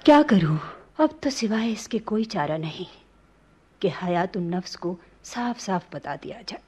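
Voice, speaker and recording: Resolute princess in prince's disguise, formal and earnest, slightly husky, radio play. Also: female voice, medium pitch, animated, a little slow, a little mellow. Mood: reassuring. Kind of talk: Hindi-Urdu radio play acting.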